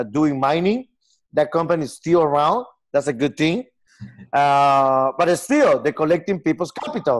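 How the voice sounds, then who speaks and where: A man in his forties from Peru, speaking English with a Peruvian Spanish accent, earnest and halting in a casual video-call interview. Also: on the high side. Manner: earnest, gestures a lot, halting non-native phrasing. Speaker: software company founder. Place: home room with lamp and armchair.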